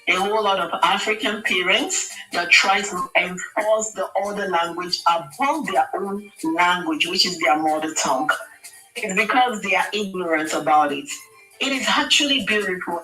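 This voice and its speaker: A woman's voice: mid-range voice, pushed and bright, echoey room, recorded from a distance, rough recording. A Nigerian woman speaking as a radio guest, giving earnest, pleading advice in a preacherly way. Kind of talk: impassioned advice on air. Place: radio studio.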